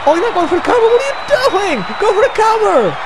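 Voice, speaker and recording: A young man giving shouted, animated play-by-play of a wrestling video game, his voice getting hoarse. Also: extremely high pitch, fast, a crowd in the background, background noise. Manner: shouting, animated, often rising to yells. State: losing his voice. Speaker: commentator.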